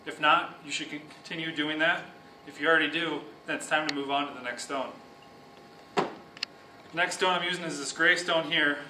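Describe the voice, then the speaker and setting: A young man calmly explaining, step by step, how to sharpen speed skates, pausing now and then to restart a phrase. He is recorded in a large office room with a whiteboard. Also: fairly high pitch, distant mic, background noise. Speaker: speed skating sharpening instructor. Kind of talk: instructional demonstration. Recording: echoey room, voice from off-mic.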